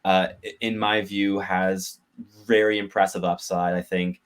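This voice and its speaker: Very deep-voiced man giving hockey analysis. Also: flat delivery, unhurried, smooth. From Canada. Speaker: podcast co-host. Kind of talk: casual sports podcast analysis.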